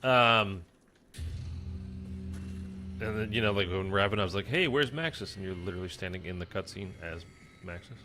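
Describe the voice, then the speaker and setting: A man's voice: low voice, normal speed, pauses often, sharp tone, faint music behind. A man casually chatting through a zombies game session, rambling with lots of fillers and sounding stuffy and congested. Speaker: gaming streamer. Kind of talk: casual gameplay commentary.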